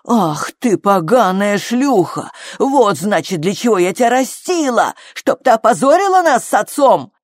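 A woman's voice: mid-range voice, normal speed, darkish tone, no echo, studio quality, voice pushed up, close to an everyday voice. A woman voicing a coarse working-class middle-aged mother with a scolding, colloquial manner in an audiobook. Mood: furious. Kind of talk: audiobook character acting.

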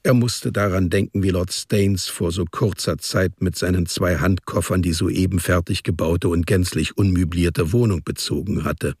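A man reads scripted narration for a radio play. His voice is deep and rough-edged, in a close, dry sound. The storytelling is measured and understated. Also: fairly even intonation, a little mellow, no room sound.

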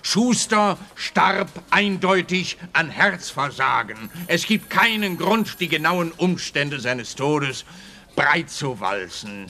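Sharp, forceful, officious policeman. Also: on the high side, very animated, slow, full character voice. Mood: reluctant, emphatic. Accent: Swiss Standard German. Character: officious male police officer, needling and smug. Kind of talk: radio play dialogue.

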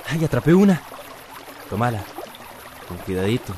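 An actor as a gentle, reassuring young man. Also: medium pitch, sing-song, moderate pace, lightly acted. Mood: gentle wonder. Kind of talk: radio soap opera acting. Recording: clear noisy outdoor ambience.

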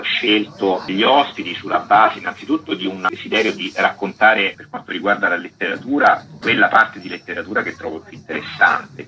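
Deep-voiced man being interviewed. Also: bright, forceful tone, rough recording. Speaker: festival artistic director, interview guest. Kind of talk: radio interview, explanatory.

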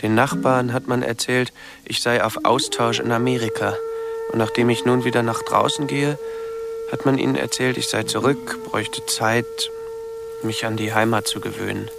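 An actor playing a withdrawn young male recluse, narrating introspectively in short, halting phrases in a radio drama. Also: mid-range voice, little pitch movement, vocal fry, lightly acted. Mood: reflective. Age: young adult. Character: withdrawn young male recluse confessing his hidden life. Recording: music behind the voice.

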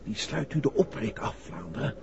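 An actor playing a brisk, dutiful police inspector in a 1960s Dutch radio drama, matter-of-fact in manner. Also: male voice, mid-range voice, big pitch swings, gravelly. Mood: alert. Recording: dull, noisy vintage radio drama recording.

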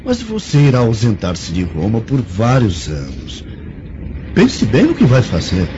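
An actor with a Brazilian accent playing a concerned, dignified young Roman groom in a radio drama, earnest and formal. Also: male voice, lowish voice, huge pitch swings, measured pace. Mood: concerned, persuading. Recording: muffled radio drama, faint noise.

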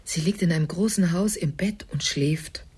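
An actress playing a tender, sorrowful carer who softly consoles a small boy in a radio play. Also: fairly low pitch, moderate pace. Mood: tender, sad. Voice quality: very breathy, husky. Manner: soft, soothing. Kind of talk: radio drama acting.

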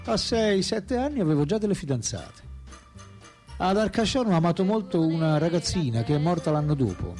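An actor playing an ageing, self-absorbed philosopher in a radio drama, speaking lines in a theatrical, deliberate, emphatic way. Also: male voice, on the high side, lively intonation, darkish tone, faint music behind, close to an everyday voice. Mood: wistful, animated. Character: famous philosopher as old man, wry, intense, self-absorbed.

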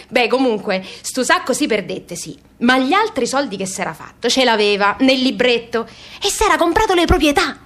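A woman's voice: high voice, big pitch swings, a little slow, a bit bright, exaggerated voice. A woman playing a teasing, insistent young daughter in a live radio play, talking conversationally. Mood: animated, arguing. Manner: conversational, repetitive, pedantically correcting. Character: young adult daughter, teasing, insistent, questioning her mother. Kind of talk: live radio theatre acting.

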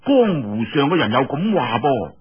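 A man voicing a young swordsman hero in a story, curious, familiar and colloquial, with a husky voice and a Cantonese delivery. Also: mid-range voice, very animated, moderate pace. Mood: musing, curious. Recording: muffled, dull lo-fi audio.

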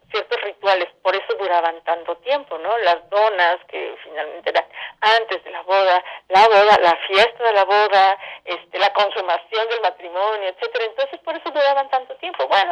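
A woman talking as a guest in a conversational interview, explaining things in a halting then flowing way. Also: medium pitch, muffled recording. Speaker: interview guest, theatre director.

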